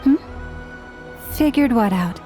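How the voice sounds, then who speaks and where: Slow, measured, serene priestess. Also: female voice, on the high side, animated, faint music behind. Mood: gentle, curious. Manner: measured, formal, gentle. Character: serene, kindly, solemn priestess. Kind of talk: video game cutscene voice acting.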